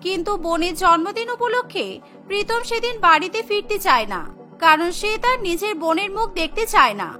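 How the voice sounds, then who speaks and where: Female cartoon narrator: dramatic scripted storytelling, very high pitch, bright tone. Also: animated, brisk, faint music behind.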